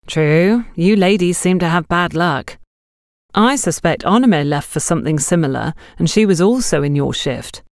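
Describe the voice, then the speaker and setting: A woman's voice: lowish voice, lively intonation, moderate pace, no echo, studio quality. A woman voicing a knowing female store colleague in an audiobook, gossiping and giving cautionary hints. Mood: confiding. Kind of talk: audiobook character dialogue.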